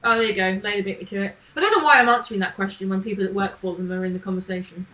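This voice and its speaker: A woman's voice: mid-range voice, rapid-fire, bright, forceful tone. A woman chatting casually on a live coding stream, thinking aloud and answering questions from chat. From not from Manchester. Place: home, living in Manchester.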